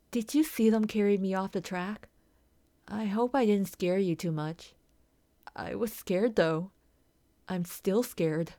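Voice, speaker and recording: Soft, dejected young horse girl, acted by a woman. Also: mid-range voice, dry recording, clean studio sound, close to an everyday voice. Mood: shaken, fearful. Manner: soft, confiding, hesitant delivery. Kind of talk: ASMR roleplay, scripted character acting.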